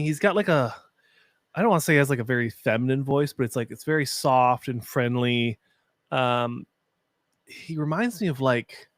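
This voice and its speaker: A young man with a deep voice, chatting casually and giving opinions while hosting a live-stream watch party. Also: no room sound, studio quality. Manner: chatty, opinionated, frequent fillers.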